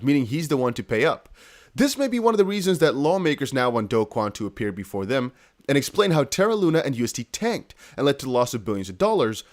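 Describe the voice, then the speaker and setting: Animated Dutch-accented man livestreaming. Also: fairly high pitch. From the Netherlands. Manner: chatty, emphatic, repeats points, addresses viewers directly. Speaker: crypto news streamer. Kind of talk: casual livestream commentary.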